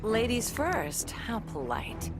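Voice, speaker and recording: A woman's voice: a little high, very animated, takes it slowly. A woman playing a tough, defiant vigilante in sardonic video game banter. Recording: music behind the voice.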